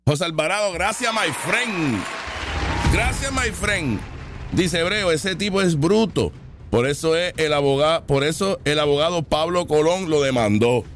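Male host, sarcastic and argumentative, with a rather high voice that swoops all over. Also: animated, unhurried, over music. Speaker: online commentary host. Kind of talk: live reaction commentary.

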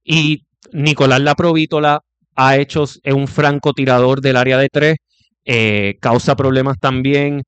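A man's voice: medium pitch, steady tone, clear, resonant tone, no echo. A man hosting a basketball talk show, listing rival players and breaking down how they play. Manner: breaks down opposing players, lists names with pauses. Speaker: sports show host.

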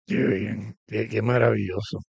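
A man's voice: lowish voice, level tone, measured pace, gravelly, darkish tone, breathy edge, no echo, dull audio. A man, a pastor hosting an interview program, talking conversationally with many restarts and fillers.

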